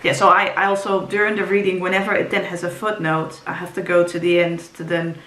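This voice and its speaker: A woman's voice: fairly low pitch, little pitch movement, brisk, fairly bright tone, a little hiss or hum. Rambling woman. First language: Dutch. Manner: rambling, frequent ums and restarts. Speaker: book vlogger. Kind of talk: casual book talk to camera. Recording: roomy echo, faint ambience.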